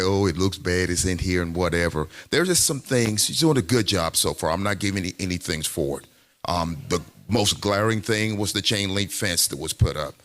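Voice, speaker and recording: A man's voice: low-pitched, a bit bright. A man speaking at a podium during a city council meeting, making an emphatic public comment. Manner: emphatic, repetitive civic speech. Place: council chamber.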